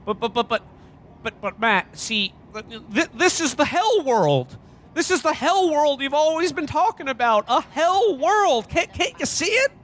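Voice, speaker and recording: A man's voice: extremely high pitch, very animated, unhurried, a bit bright, slight background noise, full character voice. A man playing a bewildered, frantic everyman in a radio-play parody, stammering and full of old-fashioned folksy exclamations. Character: frantic, bewildered everyman in an alternate Christmas reality.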